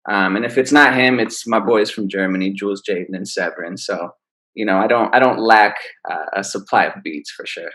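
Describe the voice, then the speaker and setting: A man, an R&B singer, answering interview questions in a relaxed, easygoing way. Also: fairly low pitch, fairly quick. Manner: relaxed, laid-back explaining. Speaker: R&B singer, guest. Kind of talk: casual interview.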